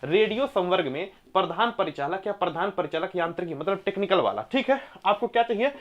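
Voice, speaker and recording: A man's voice: high voice, animated, a little fast, muffled recording. A man teaching an exam coaching class, emphatically repeating key points and putting quiz-like questions to his listeners. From India. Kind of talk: coaching lecture explaining exam eligibility and syllabus.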